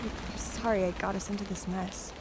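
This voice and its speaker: A woman's voice: medium pitch, steady tone, moderate pace, gravelly, heavy background noise, close to an everyday voice. A woman playing a bold, determined young hiker in an amateur audio drama. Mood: concerned. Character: bold, determined young woman, peacemaker among hiking friends.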